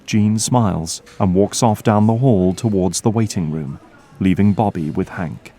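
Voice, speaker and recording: A man's voice: lowish voice, measured pace, dark tone. A man narrating an audio drama from a script in the role of storyteller.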